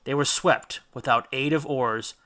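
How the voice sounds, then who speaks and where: A man's voice: mid-range voice, a little slow, sharp tone. A man reading a children's audiobook as a dramatic storyteller. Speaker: audiobook reader. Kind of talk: dramatic scripted storytelling narration.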